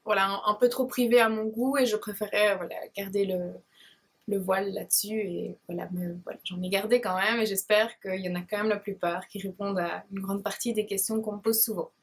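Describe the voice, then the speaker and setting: Shy young Belgian woman, breathy voice. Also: mid-range voice, fairly even intonation, brisk. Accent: Belgian French, Liège province. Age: 20s. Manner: hesitant and shy, many fillers and restarts. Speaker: beauty blogger and vlogger. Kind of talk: casual talk to camera, answering viewer questions.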